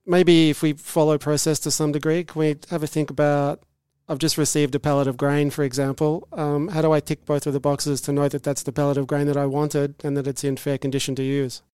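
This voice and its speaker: A man's voice: a little high, level tone, fairly quick, few pauses, a little mellow, clean tone, no room sound, studio quality. A man co-hosting a podcast, posing methodical hypothetical questions. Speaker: podcast co-host. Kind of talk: interview questions.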